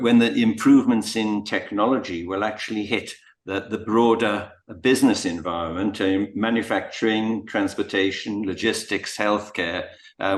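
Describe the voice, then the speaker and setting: A middle-aged man hesitantly and carefully putting a question in an online meeting. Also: lowish voice. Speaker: audience member asking a question. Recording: roomy, echoing meeting audio.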